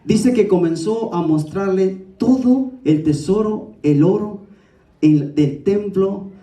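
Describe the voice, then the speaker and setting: A man's voice: high voice, takes it slowly, mellow. A man preaching a sermon in a didactic, exhorting way, addressing listeners directly. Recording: echoey room, distant from the mic.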